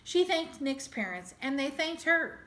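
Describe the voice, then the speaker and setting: Female storybook reader, schoolteacher, higher pitched, expressive narration, recorded with strong room echo. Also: a little slow. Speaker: teacher reading a children's book aloud. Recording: echoey room.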